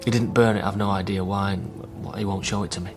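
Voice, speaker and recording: An actor as a low-pitched, guarded British son. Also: male voice, fairly even intonation, quick pace, lightly acted. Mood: guarded, subdued. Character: worried, guarded divorced son caring for troubled father. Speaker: radio drama actor. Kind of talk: naturalistic radio drama acting. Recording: faint music under radio drama.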